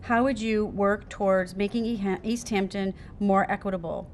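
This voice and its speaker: A woman's voice: medium pitch, fairly even intonation, moderate pace, a little hiss or hum. A woman moderating a candidate forum, reading out questions and calling on speakers, heard a little off-mic.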